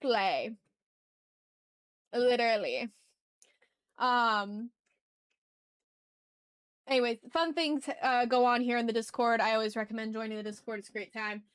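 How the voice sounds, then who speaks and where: A woman's voice: fairly high pitch, measured pace, long pauses, clean tone, no room sound. A woman hosting an art livestream, chatting casually and slangily with her viewers into a sensitive microphone. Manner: slangy, informal. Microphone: sensitive ASMR microphone.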